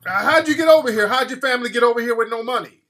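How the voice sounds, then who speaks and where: A high, bright-toned man hosts a call-in radio show. He questions his caller bluntly and impatiently, and he repeats himself to press for clear answers. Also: rapid-fire. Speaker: radio talk show host.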